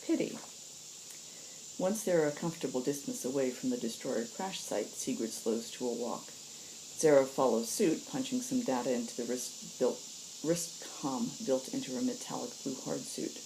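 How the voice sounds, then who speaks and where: A woman's voice: a bass voice, normal speed, dark tone, a little hiss or hum, poor audio. A woman reading and hosting a story in the style of audiobook narration. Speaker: story reader and host.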